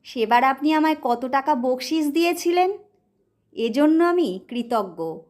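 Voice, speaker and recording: An eager male hotel attendant in an audio story, voiced by a woman, speaks in a high, lively voice. He is servile and obliging, offering his service with deference. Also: unhurried. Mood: grateful, eager.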